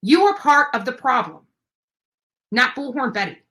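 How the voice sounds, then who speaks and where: Female livestreamer, high and forceful voice, indignant, confrontational, emphatic rant delivery. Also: very animated, moderate pace. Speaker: livestream host.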